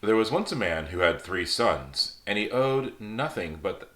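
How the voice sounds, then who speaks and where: A man with a lowish voice reads a fairy tale aloud, relaxed and chatty, letting his pitch rise and fall with the story. The room around him rings with noticeable echo. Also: moderate pace. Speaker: storyteller host. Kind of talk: casual storytelling reading.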